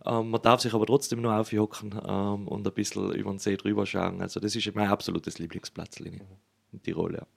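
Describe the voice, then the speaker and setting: A man with a Tyrolean Austrian accent answers casually as a musician guest. His voice is fairly low with a lot of creak in it. The intonation stays level and flat. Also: brisk. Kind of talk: casual interview chat.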